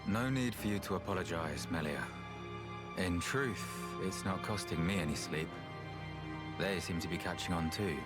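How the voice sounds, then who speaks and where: An actor playing a calm, reasonable and slightly weary researcher in a video game, speaking in an unhurried, thoughtful way. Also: male voice, sits low, close to an everyday voice. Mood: grave. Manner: unhurried, thoughtful, plain-spoken. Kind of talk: video game cutscene acting. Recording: game music behind the voice.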